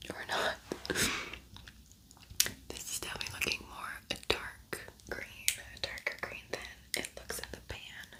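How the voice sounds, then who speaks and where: A woman speaking in a breathy whisper, chatting informally and softly as she hosts an ASMR makeup tutorial. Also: low-quality recording. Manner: soft-spoken, informal, chatty. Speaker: beauty ASMR video creator.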